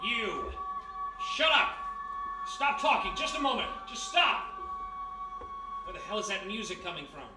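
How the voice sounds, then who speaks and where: Male actor as impulsive private eye, high urgent voice, blunt, radio mystery style, echoey distant recording. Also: sing-song, measured pace, pauses often. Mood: frantic, angry. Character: hot-headed male private detective, reformed thief. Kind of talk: live 1940s-style radio mystery acting. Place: live performance in a historic house room. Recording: echoey distant live recording, music behind.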